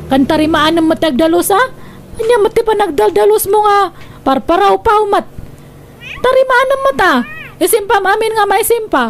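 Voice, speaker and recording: A woman's voice: extremely high pitch, a little hiss or hum. A woman playing a bossy, demanding employer in a radio drama, nagging with an Ilocano accent. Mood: nagging, scolding. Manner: commanding, nagging. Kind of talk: Filipino radio drama acting.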